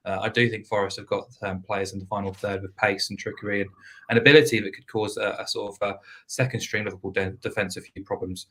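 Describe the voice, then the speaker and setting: Flat-voiced man, a bit hoarse. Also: fairly low pitch. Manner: measured, hesitant with fillers, flat delivery. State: losing his voice. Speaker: football betting pundit. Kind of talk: casual podcast chat.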